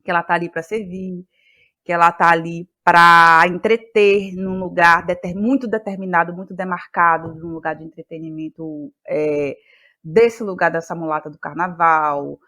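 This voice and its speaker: A woman talking as an interview guest, explaining her work in a thoughtful, expressive conversational way. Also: lowish voice, moderate pace. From Brazil, Bahia. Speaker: guest, playwright and actress.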